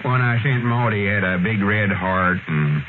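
A man's voice: on the low side, lively intonation, measured pace. A man playing an earnest, lovestruck old country storekeeper with a rural Southern accent and folksy, hesitant talk, in radio comedy style. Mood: earnest explaining. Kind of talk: 1930s-40s radio comedy acting. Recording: old radio broadcast, narrow band, noisy.

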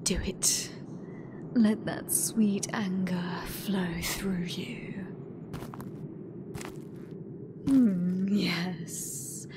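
A British woman voicing a hateful sentient AI god in a breathy, deliberate whisper for an ASMR horror roleplay. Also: medium pitch, noisy background. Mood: taunting. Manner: slow, deliberate, menacing, theatrical. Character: hateful sentient female AI god gloating over last human.

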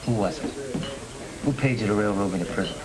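An actor playing a determined young parolee out to clear his name in a 1930s crime film. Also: fairly low pitch, fairly quick, background noise, natural voice. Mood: cool, pressing. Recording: old 1930s film soundtrack, music bleeding in.

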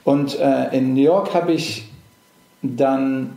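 A middle-aged man from England whose native tongue is English talks in a relaxed, hesitant way, full of fillers and restarts. His voice has a gravelly, fry-edged texture, and the room around him rings with echo. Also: medium pitch, slow. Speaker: actor, podcast guest. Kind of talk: casual interview chat.

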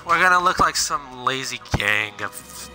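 A man's voice: medium pitch, expressive, measured pace, sharp tone, low-quality recording. A teenage boy chatting sarcastically with friends while playing a game, with game music faintly behind. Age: teens. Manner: sarcastic, theatrical. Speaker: gamer. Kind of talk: casual chat while playing.

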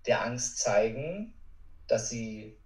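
A man's voice: medium pitch, animated, takes it slowly, a little mellow. A man giving an informal, emphatic motivational talk as a coach, addressing the listener directly. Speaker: podcast guest, courage coach. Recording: roomy echo, distant from mic.

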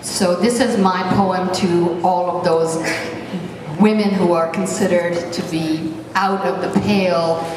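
Middle-aged woman speaking slowly in an echoey hall. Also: lowish voice, fairly even intonation, distant mic, background noise. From Pakistan. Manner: slow, hesitant, many fillers. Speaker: poet and performer. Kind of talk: spoken introduction at a concert. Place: large hall on a stage. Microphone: stand mic, recorded from far back in the audience. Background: live band and audience.

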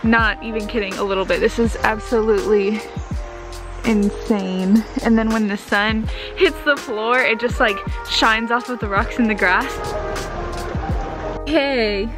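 A woman in her twenties, talking casually and excitedly to a handheld camera while touring a rental house. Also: on the high side, a little slow, over music. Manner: bubbly, excited, filler-heavy. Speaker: travel vlogger. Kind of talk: casual vlog chat. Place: rental house indoors and forest outdoors. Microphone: handheld camera at arm's length.

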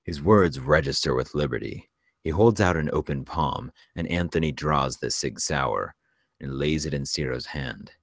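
A man's voice: extremely low pitch, vocal fry, dry recording, studio quality. A man narrating the stage directions of a screenplay at a table read.

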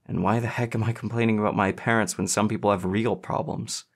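A man's voice: lowish voice, steady tone, a little fast. A man voicing an anxious, sweet and sensitive teenage boy in audiobook dialogue. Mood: worried.

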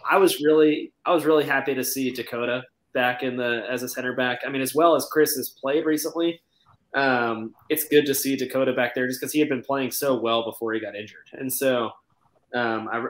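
Male panelist, thirties, mid-range voice, relaxed sports chat, roomy echo on a video call. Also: mid-range voice, fairly quick. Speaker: podcast panelist. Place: indoor room at home. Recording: echoey room on video call.

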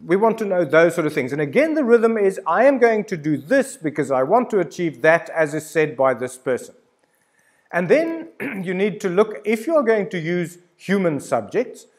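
An older man in his sixties delivers a conversational lecture. His voice sits a little high for a man and moves up and down with great animation. He addresses the listener directly and drives his points home emphatically. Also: very animated, normal speed, a little mellow. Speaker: university lecturer. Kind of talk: informal instructional lecture with storytelling examples. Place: indoor studio with plain backdrop.

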